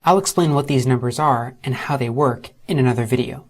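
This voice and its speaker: A man narrating a screen-recorded software tutorial. He walks through each step methodically and slows down to spell out letters and terms. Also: medium pitch.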